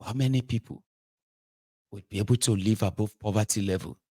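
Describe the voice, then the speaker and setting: A Nigerian man in his forties giving an impassioned political monologue, emphatic and rhetorical, jumping between loud outbursts and quiet asides. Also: fairly low pitch, brisk, husky. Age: 40s. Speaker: political commentator.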